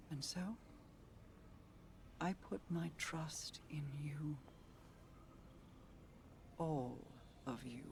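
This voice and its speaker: A woman playing a regal, guarded queen and protective sister in a video game, speaking in a measured, formal, grave way. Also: low voice, recorded from a distance, background noise. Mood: solemn, resigned. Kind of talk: dramatic video game voice acting.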